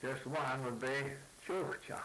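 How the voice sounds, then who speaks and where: An older man working through words with a speaker of another language, repeating each one back slowly and asking plain questions. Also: mid-range voice, a bit bright, a little hiss or hum, low-quality recording. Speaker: interviewer learning native words. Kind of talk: word elicitation session.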